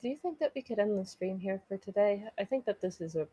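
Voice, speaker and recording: Woman guest on a game stream, just chatting casually, mellow relaxed voice. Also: medium pitch, fast talker, husky.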